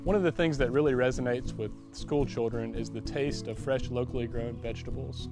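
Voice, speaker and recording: A man's voice: mid-range voice, a little fast. Man in his thirties presenting over guitar. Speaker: farmer. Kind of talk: scripted presentation to camera. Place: plastic greenhouse full of plants. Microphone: lapel. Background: plucked guitar music.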